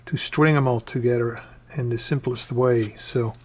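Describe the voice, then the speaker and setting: Even-toned man, halting step-by-step tutorial. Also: mid-range voice. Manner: halting, step-by-step, repeats instructions. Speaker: presenter of a do-it-yourself electronics tutorial. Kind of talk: informal hands-on tutorial explanation. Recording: clearly noisy home recording with clicks.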